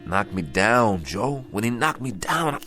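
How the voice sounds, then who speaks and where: An actor playing a dazed, doubting ageing boxer in a radio drama, speaking haltingly and repeating his words. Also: on the low side, very animated, sharp tone, faint music behind, full character voice. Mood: uneasy, puzzled.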